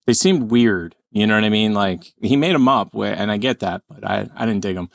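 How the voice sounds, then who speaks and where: A man's voice: on the low side, brisk, creaky, dry recording, studio quality. A man hosting a podcast, reacting to a sketch with laughter and broken-off sentences. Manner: laughing, animated, trailing off mid-sentence. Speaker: podcast host. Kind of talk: casual chat.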